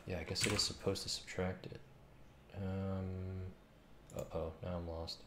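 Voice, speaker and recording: A man's voice: sits low, little pitch movement, moderate pace. A man in his thirties muttering hesitantly as he thinks aloud, casually coding on a stream. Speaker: game developer streaming. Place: indoor room at a computer. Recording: roomy with clear background noise. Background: keyboard typing and clicks.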